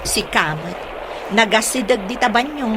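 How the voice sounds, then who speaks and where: A woman's voice: fairly high pitch, lively intonation, normal speed, noisy background, lightly acted. An actress playing a spoiled, vain young girlfriend in a radio drama, sugary and pouty, coaxing with endearments in an Ilocano accent. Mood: sweetly demanding. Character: spoiled, vain young girlfriend who sweetly wheedles and demands.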